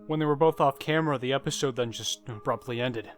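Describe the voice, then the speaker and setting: Male horror storyteller, mid-pitched, first-person scripted narration with dramatic pauses, music underneath.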